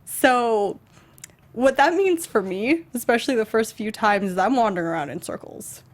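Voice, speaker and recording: A woman with an American accent in a casual chat about gaming, telling her experiences in a halting, emphatic way with repeated phrases. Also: fairly high pitch. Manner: animated storyteller, halting, emphatic repetition. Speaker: talk show host.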